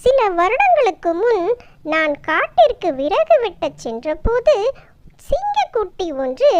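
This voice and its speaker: A storyteller voicing a humble, wrongly condemned woodcutter man in a children's story, earnest and deliberate. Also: very high voice, no echo, full character voice. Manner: earnest, deliberate explaining and pleading.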